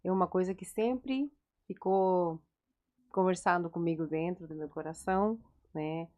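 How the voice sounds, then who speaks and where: A woman's voice: fairly low pitch, unhurried. A woman with a regional accent co-hosting a psychology podcast, speaking encouragingly in a casual conversation. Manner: warm-hearted, encouraging, lots of "né".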